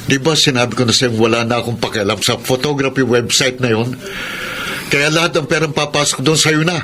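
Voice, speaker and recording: An actor with a Filipino accent playing a brooding young prisoner in a radio drama, speaking earnestly and with emotion. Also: male voice, medium pitch, brisk, sharp tone, lightly acted. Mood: bitter, resigned. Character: Edison, brooding young male prisoner and gifted photographer. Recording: noisy radio drama broadcast.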